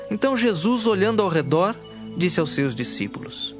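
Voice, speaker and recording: A man's voice: high-pitched, big pitch swings, moderate pace. A man with a Brazilian accent narrating scripted, dramatized scripture. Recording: clear music bed behind the voice.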